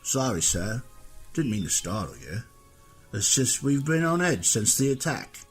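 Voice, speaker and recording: A man's voice: big pitch swings, measured pace, slightly rough, soft background music, heavily acted. A man voicing an edgy, weary male city gate guard who talks in a nervous, strained way. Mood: shaken. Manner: nervous, strained, rising pitch. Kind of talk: audio drama reading.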